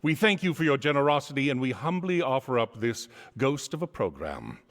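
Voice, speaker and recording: A man narrating a story theatrically in a wry, formal, deliberate way, in an echoey theater hall. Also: medium pitch, very animated. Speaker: stage narrator and presenter. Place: theater stage.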